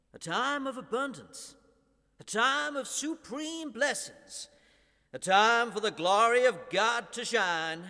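An actor in a radio play playing a smooth-talking evangelical pastor with a persuasive, salesman-like manner and an uneasy conscience. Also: sits high, pushed and bright, dry recording, clean studio sound, full character voice. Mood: fervent preaching. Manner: stammering, salesman-like persuasion, swelling into preaching. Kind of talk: radio drama acting.

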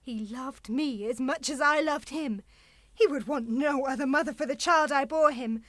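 A woman's voice: high voice, moderate pace, fairly bright tone, no room sound. A woman acting in a radio play as a proud, defensive single mother, guarded and intense in protecting her son. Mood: defiant.

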